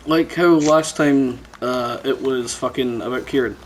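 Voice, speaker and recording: Man, podcast host, casual sweary banter, teasing, medium pitch, faint background noise. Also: fairly even intonation, measured pace, rough recording.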